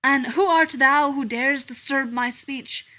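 Woman reader as anguished male prince; theatrical, loud verse. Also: high voice, a little slow, voice pushed up. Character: melodramatic young male tragic prince.

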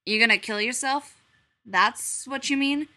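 A woman's voice: on the high side, measured pace, pushed and bright. Woman doing a blunt unimpressed stranger. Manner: blunt, dry.

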